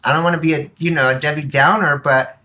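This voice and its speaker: Woman podcast guest, really bright forceful voice, low pitched, candid n animated storytelling. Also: quick pace. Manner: animated, emphatic, acts out quoted remarks. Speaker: podcast guest, nonprofit board member. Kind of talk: candid personal storytelling in conversation.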